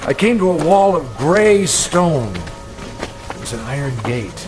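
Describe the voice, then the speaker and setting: Haunted older gentleman, animated delivery. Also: a little high. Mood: reflective, intense. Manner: formal, precise, deliberate. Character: haunted older gentleman recounting his youth, grave, insistent. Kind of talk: dramatic radio storytelling. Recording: music under the voice. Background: dramatic music.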